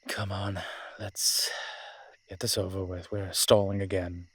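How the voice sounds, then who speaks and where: A man playing a wry, flirtatious immortal incubus demon in a roleplay audio drama, with a husky voice and a dry, teasing, conversational manner. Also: low voice, level tone, unhurried, a bit bright, dry recording, exaggerated voice. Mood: resigned.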